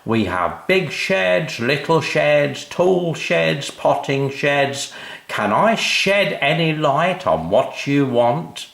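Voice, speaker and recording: A showy salesman from a children's storybook, put on by a male reader. He stretches out his rehearsed patter slowly, with a pitch that rises and swoops. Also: very animated, vocal fry, fairly bright tone, roomy sound, heavily acted. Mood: jolly, showy.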